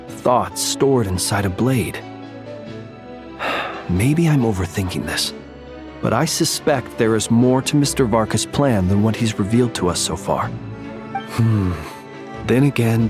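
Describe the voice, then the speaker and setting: An actor voicing a thoughtful, earnest young male soldier in a video game, measured and reflective. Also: mid-range voice. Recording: game audio with music behind.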